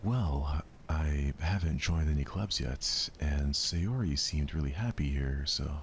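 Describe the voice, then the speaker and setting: A man's voice: extremely low pitch, a little slow, a little hiss or hum. A man voicing an ordinary, awkward teenage schoolboy in a visual novel, casual and hesitant. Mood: casual, unsure.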